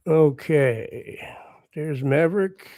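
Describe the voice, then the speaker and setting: Hoarse man hosting a relaxed stream. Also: a little high, very slow, no room sound. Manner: talks quietly, chatty and encouraging. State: hoarse, recovering lost voice. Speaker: livestream host. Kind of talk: casual chat with viewers. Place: at home with morning coffee.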